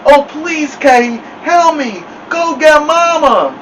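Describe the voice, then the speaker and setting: A man's voice: extremely high pitch, lively intonation, takes it slowly, fairly bright tone, distant mic, slight background noise, voice pushed up, heavily acted. A man voicing a panicked, crying boy in an audiobook story, mumbling as if his mouth is stuck. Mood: panicked, pleading. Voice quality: mumbling, muffled. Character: panicked boy with lips frozen to mirror, crying.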